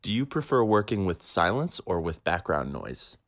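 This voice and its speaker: A young interviewer with a low-pitched voice that moves around a lot in tone. The questions are short and scripted, delivered politely and with an upbeat feel. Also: big pitch swings, normal speed. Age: young adult.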